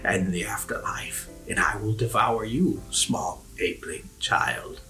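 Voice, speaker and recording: Man playing proud winged creature, menacing, low and slow, tabletop game, faint music. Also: pushed and bright, whispered, rough recording. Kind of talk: tabletop roleplay.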